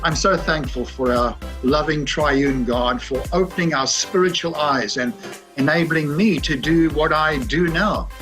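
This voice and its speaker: A man's voice: a little high, a little slow. A man in his seventies giving a grateful church testimony in an earnest, reflective way. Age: 70s. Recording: soft music behind.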